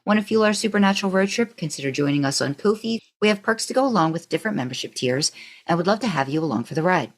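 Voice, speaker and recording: Low voiced woman, podcast host, just chatting casually. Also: animated, quick pace. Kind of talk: casual chat and podcast sign-off.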